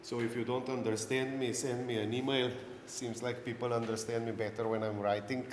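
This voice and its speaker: A man's voice: medium pitch, level tone, a little fast. A middle-aged man from Slovenia, with a Slovenian accent, speaking English as a second language and giving a technical conference talk with dry self-deprecating humor and frequent "uh" fillers. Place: large conference hall. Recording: echoey hall with faint noise.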